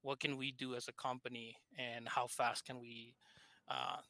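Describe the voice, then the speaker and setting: A man's voice: mid-range voice, level tone, normal speed, bright, forceful tone, dry recording. A man from Argentina with a thick Argentine Spanish accent, a game developer talking casually with hesitant fillers. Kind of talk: casual interview chat.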